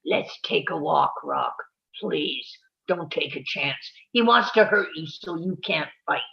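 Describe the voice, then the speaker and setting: Protective old male boxing trainer, gravelly, read by an actor, dull roomy recording. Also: medium pitch, expressive, a little slow. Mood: urgent. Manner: blunt, pleading. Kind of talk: live online table read.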